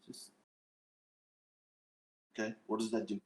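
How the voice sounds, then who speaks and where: A man speaking as a tabletop game player, casual and a little hesitant, with a deadpan manner. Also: on the low side, slow pace, breathy edge, far from the mic.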